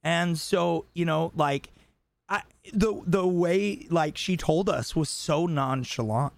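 Gravelly, blunt man in his late thirties. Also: fairly high pitch, slow pace, no echo. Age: 30s. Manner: blunt, joking, lots of fillers. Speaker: podcast co-host. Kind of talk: casual chat.

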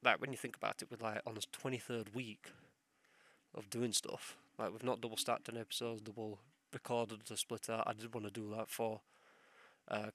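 A man's voice: fairly low pitch, a bit bright, dry recording. A young man hosting a solo podcast while ill, with a sore throat and sniffles, rambling and swearing as he loses his train of thought. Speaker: podcast host.

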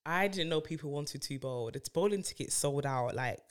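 A woman co-hosting a podcast, telling stories in a relaxed, giggly way. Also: deep voice, moderate pace. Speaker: podcast co-host. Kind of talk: casual chat.

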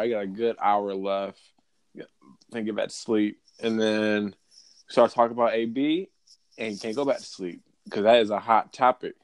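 A man's voice: medium pitch, clear, resonant tone, no echo. Laid-back male co-host, loose chatty delivery, dry close mic. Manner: laid-back, repeats phrases for emphasis. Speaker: podcast co-host. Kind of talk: casual sports podcast chat.

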